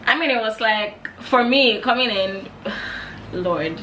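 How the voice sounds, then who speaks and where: A woman's voice: fairly high pitch, animated, slow pace, bright, forceful tone, slight background noise, low-quality recording. A young woman from Nigeria co-hosting a casual chat, talking reflectively and laughing often. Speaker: co-host.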